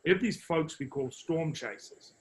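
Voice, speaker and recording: A man in his thirties with a New Zealand accent, a marketing executive answering interview questions in a measured, explanatory way, with frequent fillers and restarts. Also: on the high side. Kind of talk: business podcast interview.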